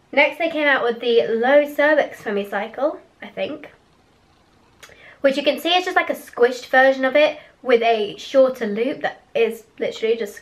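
A teenage girl vlogging from her bedroom, chatty and candid, with a hoarse voice that keeps cracking after a cough. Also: sits high, fairly bright tone. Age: teens. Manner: chatty, candid, a little giggly. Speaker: vlogger reviewing products. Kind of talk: casual chat to camera. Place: small bedroom with posters on the wall. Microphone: webcam in front of her face.

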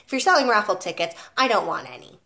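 A rude, ratty little man, snappish and dismissive, barking short curt replies while a woman reads his lines in a storybook. His voice stays loud and blunt throughout. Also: medium pitch, very animated, fast talker, creaky, exaggerated voice.

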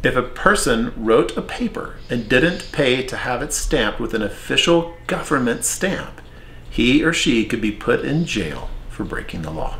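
A man's voice: medium pitch, sing-song, a little slow, a bit bright. A middle-aged man reading scripted educational dialogue as a presenter in a school history project, recorded in a living room. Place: furnished living room, indoors. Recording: roomy, noisy home recording.

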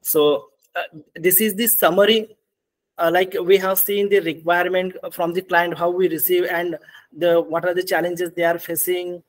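High-pitched Indian man presenting. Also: poor audio. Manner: halting, repetitive phrasing with frequent "like" and "okay". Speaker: webinar presenter, ERP consultant. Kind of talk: software demonstration and slide walkthrough. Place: indoor room, on a video call.

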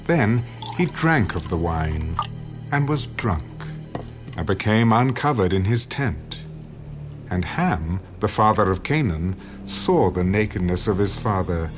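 Male scripture narrator, lowish voice, animated intonation. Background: music.

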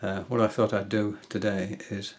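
An older man at home giving a hesitant, casual tutorial, often pausing with fillers as he shows his reels. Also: on the low side, little pitch movement. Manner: hesitant, many fillers and restarts. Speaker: angling hobbyist giving a tutorial. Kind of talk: casual explanatory demonstration. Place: indoors, small room at home.

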